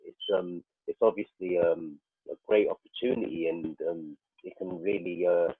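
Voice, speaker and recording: A man speaking haltingly as a guest in a panel conversation, reflecting personally and often repeating himself as he searches for words. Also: low-pitched, steady tone, slightly rough. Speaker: guest, prison radio producer. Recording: roomy, phone-like narrowband call.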